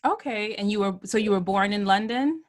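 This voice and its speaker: Brief: woman podcast host, casual and chatty, mid-pitched, ready laugh. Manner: chatty and upbeat, quick to laugh. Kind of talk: casual interview chat.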